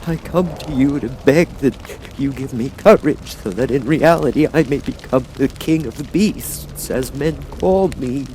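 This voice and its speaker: Storybook lion, male narrator acting: raised pitch, loud, blustering, a coward eager to seem brave. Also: a little slow, a little hiss or hum, close to an everyday voice. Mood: pleading, fearful.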